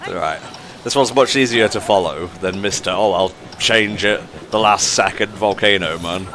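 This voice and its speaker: A man's voice: fairly low pitch, normal speed, noisy background. A man casually playing a game, teasing his friends and muttering as he plays. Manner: easygoing, teasing, talks to himself as he plays. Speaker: player. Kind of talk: casual let's-play chat.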